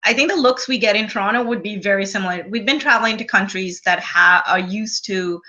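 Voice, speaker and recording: A woman from Sri Lanka talks casually as an interview guest, her voice bright and forward. She chats freely and drops quick asides. The audio is dull and lo-fi. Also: medium pitch. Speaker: guest, travel blogger.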